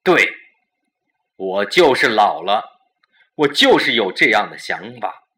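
A man's voice: lowish voice, sing-song, slow pace, sharp tone, heavily acted. A man playing a domineering, impatient older company-boss husband, overbearing and exasperated, in a Chinese audio drama. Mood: angry.

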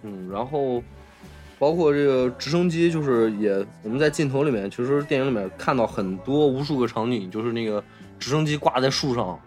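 A man's voice: mid-range voice, expressive, fairly quick, a little mellow, faint music behind. A man with a Mainland Chinese Mandarin accent hosting a casual film discussion, explaining and rephrasing his points as he goes. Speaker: podcast host.

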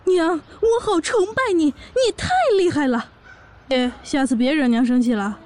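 A woman voicing an excitable young orc wife and daughter, narrating and chatting in a fan audio drama. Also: sits high, normal speed, slight background noise. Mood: chatty, coaxing.

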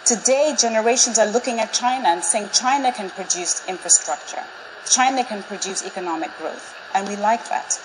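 Measured, persuasive woman in her forties. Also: medium pitch, background noise. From Zambia. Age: 40s. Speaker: speaker. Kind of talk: prepared public talk. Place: large auditorium with live audience.

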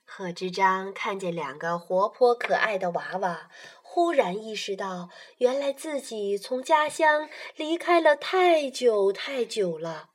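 A woman narrating a children's radio play as a storyteller, in an animated style. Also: mid-range voice, slow, hardly any pauses.

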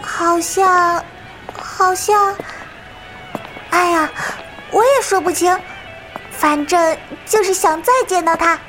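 A chatty, innocent little child in a modern radio drama. The voice is extremely high and childlike, full of eagerness. Faint music runs underneath. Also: female voice, slow, pauses often, heavily acted, poor audio. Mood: eager, innocent. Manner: childlike, excitable, hesitating innocently.

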